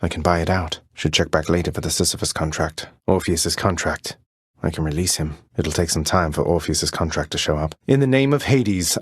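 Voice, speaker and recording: A man's voice: low-pitched, no echo, studio quality. A man playing a young, rebellious prince of the underworld in a video game, wry, understated and casually courteous. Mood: musing, determined, then formal, sincere. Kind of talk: video game character voice lines.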